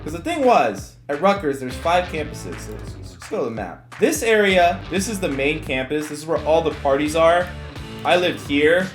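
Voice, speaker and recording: A young man with a Californian American accent telling stories to viewers in a casual, animated, slangy and self-mocking way. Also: a little high, moderate pace. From California, United States. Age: young adult. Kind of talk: casual storytime chat to viewers. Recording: echoey room with music bed. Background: background music with guitar.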